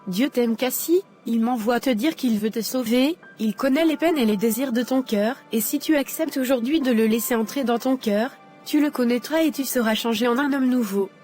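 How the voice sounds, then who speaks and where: Une femme qui double une jeune fille pieuse et douce. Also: female voice, on the high side, moderate pace, soft background music, lightly acted. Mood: gentle. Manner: soft, earnest, forgiving. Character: young woman, daughter freed from captivity, gentle and devout.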